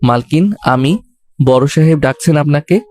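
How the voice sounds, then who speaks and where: Man acting a cheeky romantic young groom, higher-pitched. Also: moderate pace, darkish tone, lightly acted. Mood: respectful.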